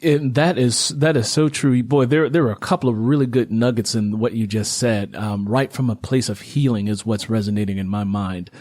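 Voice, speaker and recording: A man's voice: fairly low pitch, normal speed, hardly any pauses, a little mellow, no echo, clean studio sound. A man hosting a casual interview, affirming his guest and repeating points for emphasis. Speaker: interview host.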